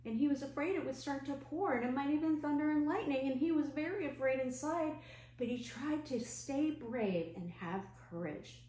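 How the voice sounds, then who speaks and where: A woman children's librarian telling a story to young children in a gentle, expressive way, asking simple questions as she goes. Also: on the high side. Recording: roomy echo, clear background noise and hum.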